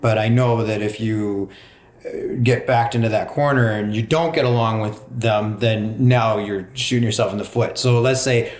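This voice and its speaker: A man's voice: lowish voice, fairly even intonation, moderate pace, echoey room. Hesitant male interviewer. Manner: hesitant, careful phrasing.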